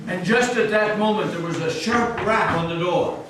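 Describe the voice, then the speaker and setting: A man's voice: high-pitched, normal speed. A man narrating a scripted tale as a dramatic reading in a meeting house. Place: large echoey meeting house indoors. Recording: echoey hall, distant mic, noisy.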